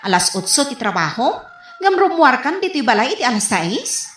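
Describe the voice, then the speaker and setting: A woman's voice: high voice, big pitch swings, moderate pace, a bit bright, slight background noise. A woman with an Ilocano accent playing a questioning, teasing housemate in a radio comedy drama. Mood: puzzled, teasing. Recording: echoey room sound.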